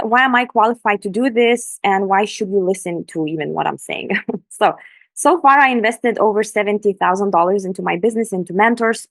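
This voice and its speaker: A woman's voice: medium pitch, no echo. Woman from lithuania, earnest presenter, dry sound, video call. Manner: enthusiastic, earnest. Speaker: Instagram business coach, guest. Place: room in Bali at night.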